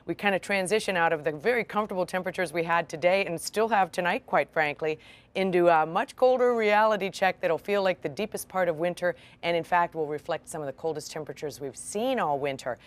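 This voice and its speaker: A woman's voice: on the low side, brisk. A woman with an American accent giving a conversational weather forecast on television.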